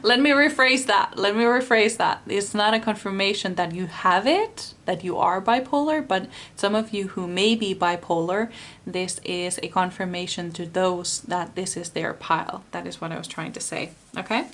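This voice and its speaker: A woman from Finland with a Finnish accent, doing a pick-a-card tarot reading in English, her second language, in a soft, earnest way, often stopping to rephrase. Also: medium pitch, slight background noise. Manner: slow, searching, rephrases often.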